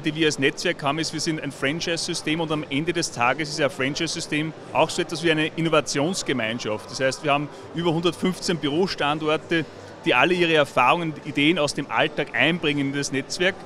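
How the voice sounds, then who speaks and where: A man's voice: a little high, a little fast, fairly bright tone. A man, a company executive explaining his network fluently in an interview. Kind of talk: event interview.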